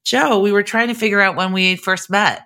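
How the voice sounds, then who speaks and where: A woman's voice: mid-range voice, fairly even intonation, normal speed, fairly bright tone. An American woman, middle-aged, hosting a podcast in an upbeat, articulate and encouraging way. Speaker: podcast host.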